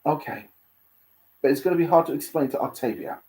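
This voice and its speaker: A woman's voice: deep voice, lively intonation, brisk, mellow, rough recording. A woman voicing a theatrical, fussy demon prince, a protective uncle, in a story reading. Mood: shocked, worried.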